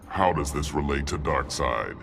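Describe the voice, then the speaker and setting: A man's voice: a bass voice, normal speed. A man playing an imperious alien tyrant god in a video game, pompous and menacing. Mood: scornful. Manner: pompous, commanding. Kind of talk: video game villain dialogue. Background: loud music.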